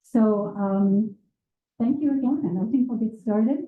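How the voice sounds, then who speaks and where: A woman moderating a community panel, welcoming a live audience in a gentle, measured and slightly hesitant way. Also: a little high, narrow, tinny audio, slight background noise. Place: community meeting room with a seated circle. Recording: roomy room sound.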